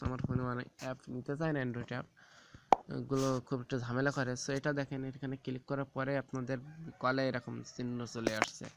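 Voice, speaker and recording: A man gives an informal, halting step-by-step phone app tutorial, often repeating himself. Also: medium pitch. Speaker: tech tips tutorial host. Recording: very noisy, rooster crowing and clicks behind.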